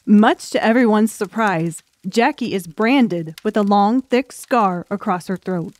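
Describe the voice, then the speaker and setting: A woman's voice: medium pitch, a little slow, dry recording. A woman narrating a dramatic audiobook story in a scripted style.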